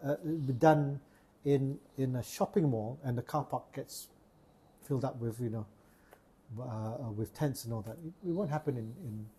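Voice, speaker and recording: A man's voice: mid-range voice, unhurried, mellow, slightly rough. A man from Sarawak with a Malaysian accent, hosting a casual podcast chat and often repeating words as he searches for a phrase. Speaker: podcast host.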